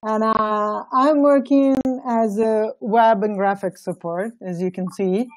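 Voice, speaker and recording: A woman's voice: medium pitch, fairly even intonation, slow pace, no echo, low-quality recording. A woman from Italy with an Italian accent, informally introducing herself on an online call, searching for words. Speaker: web and graphic designer on a nonprofit team.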